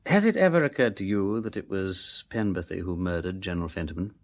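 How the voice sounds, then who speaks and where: An actor playing an urbane, flippant but kindly gentleman detective in a radio drama, with an upper-class English accent and breezy, drawling old-fashioned slang. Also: sits low, lively intonation, brisk. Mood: brisk, explanatory. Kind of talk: full-cast radio drama acting.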